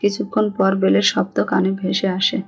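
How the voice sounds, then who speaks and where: A woman's voice: medium pitch, monotone, moderate pace, gravelly, breathy edge. A woman with a Bangladeshi accent reading scripted narration of a romantic story. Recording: music under the voice.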